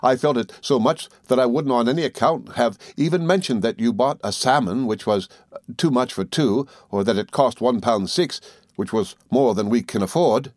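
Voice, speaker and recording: A man voicing an earnest, loving young husband in an audiobook, reasoning gently and formally. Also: mid-range voice, moderate pace, dry recording, clean studio sound, natural voice. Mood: earnest, conciliatory. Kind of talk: audiobook character dialogue.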